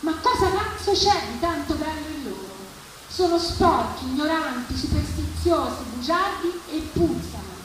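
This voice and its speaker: A woman's voice: high-pitched, lively intonation, moderate pace. A woman reading the part of an angry, contemptuous husband in a story, indignant and emphatic. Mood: angry disbelief. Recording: echoey, distant, noisy live event recording.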